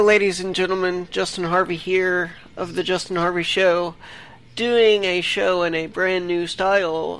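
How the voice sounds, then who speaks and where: A man's voice: sits high, vocal fry, fairly bright tone, clean tone. A man hosting his own interview show, talking loosely and rambling with fillers. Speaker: podcast host. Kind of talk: casual interview. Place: home desktop recording setup.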